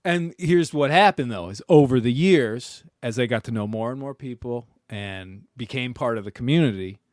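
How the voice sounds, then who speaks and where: A man hosts a laid-back podcast chat, rambling and hedging as he talks. His voice sits at a middle pitch. The recording is dry and close, with no room echo. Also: normal speed. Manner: relaxed, rambling, lots of fillers. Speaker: podcast host. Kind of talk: casual conversation. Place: aboard a sailboat.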